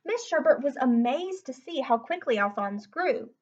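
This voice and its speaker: A woman's voice: sits high, expressive, normal speed, a little mellow, highs cut off. A woman reads a picture book aloud and chats in between, explaining things simply as if to young children. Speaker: read-aloud host. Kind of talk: picture book read-aloud with chatty asides. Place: indoors at home, sitting on the floor.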